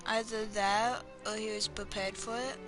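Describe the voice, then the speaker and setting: A woman giving casual playthrough commentary, thinking aloud haltingly over game music. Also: mid-range voice, fairly even intonation. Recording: game music faintly behind the voice.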